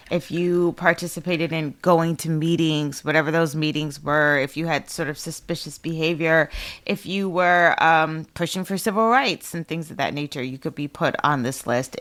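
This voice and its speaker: A woman's voice: low-pitched, fairly bright tone. A woman co-hosting a conversational history discussion, explaining her points with repeated phrasing. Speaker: podcast co-host.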